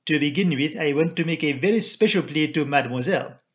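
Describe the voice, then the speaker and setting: A man voicing an elderly, courteous detective in an audiobook, with a formal, imploring, deliberate delivery. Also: on the high side, lightly acted. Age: older. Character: elderly Belgian male detective, courteous, earnest, commanding.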